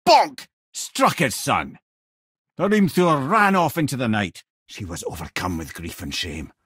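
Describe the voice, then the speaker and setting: A worldly old Scottish adviser from a fantasy video game. His voice is husky and sits at a middling pitch, recorded close and dry. He talks like a seasoned teller of myths, eloquent and wry, with a lively rise and fall. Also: no room sound. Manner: theatrical, eloquent storytelling with wry asides. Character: wry, worldly old male storyteller, talkative loyal adviser. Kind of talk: video game character dialogue and mythic storytelling.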